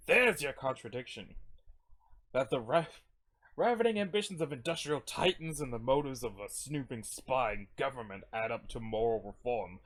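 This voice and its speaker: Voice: mid-range voice, pitched above the performer's own voice. A performer voicing a stern, bitter comic character who rants in grand, preachy language. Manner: grandiloquent, ranting.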